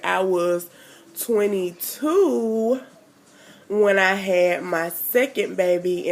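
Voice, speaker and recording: Slow, stuffy-nosed young woman vlogger. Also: medium pitch. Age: 20s. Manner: rambling, chatty, lots of fillers. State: stuffy nose from a cold. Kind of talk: casual chat to camera.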